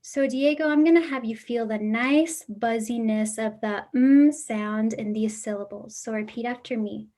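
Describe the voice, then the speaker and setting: Young female student clinician, casual friendly coaching tone, a bit high-pitched. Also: moderate pace, few pauses, mellow. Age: young adult. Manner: friendly coaching, directs others. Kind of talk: casual instructional demonstration.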